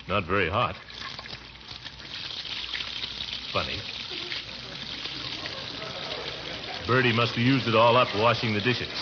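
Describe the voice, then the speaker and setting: An actor playing a fussy, affectionate middle-aged uncle in a theatrical, blustery 1940s radio comedy style. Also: male voice, mid-range voice, lightly acted. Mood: fussy, flustered. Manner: blustery, theatrical, with swooping exclamations and chuckles. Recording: muffled, noisy vintage radio recording.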